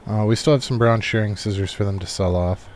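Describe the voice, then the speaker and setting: A man giving relaxed, rambling live commentary on a game he is playing, thinking aloud as he goes, while recovering from a cold. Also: fairly low pitch, fairly quick, background noise. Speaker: game streamer. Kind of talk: casual live gameplay commentary.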